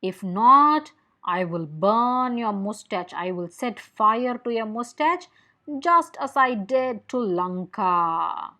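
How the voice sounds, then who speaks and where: Woman acting a stern, slow-spoken Indian monkey god. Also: on the high side, animated, exaggerated voice. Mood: stern, threatening. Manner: slow, forceful warning.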